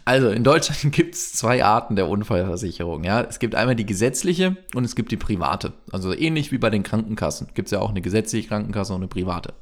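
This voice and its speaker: Low, gravelly man chatting casually. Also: animated, brisk, few pauses. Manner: chatty, many fillers, jokey asides. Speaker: podcast co-host. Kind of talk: casual explanatory chat.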